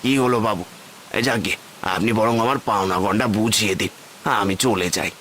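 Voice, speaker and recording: A man's voice: lowish voice, normal speed, fairly bright tone, slight background noise, close to an everyday voice. A man voicing a humble, earnest poor village porter in a cartoon moral tale, deferential and pleading. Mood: miffed.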